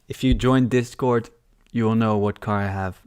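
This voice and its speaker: A young man reads out viewers' questions and answers them in a loose, offhand way. His voice sits fairly low and comes across smooth and clear. He is on a headset mic in a small room, and the sound is close and dry. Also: steady tone, normal speed, darkish tone, no room sound. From born in London. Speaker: trading livestream host. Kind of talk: casual live-stream Q&A.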